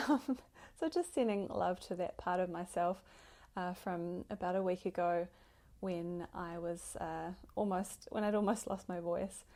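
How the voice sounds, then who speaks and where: A woman hosting a podcast, speaking alone in a grateful, gushing way with fillers and soft laughs. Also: lowish voice, unhurried. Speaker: podcast host. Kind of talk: solo podcast introduction.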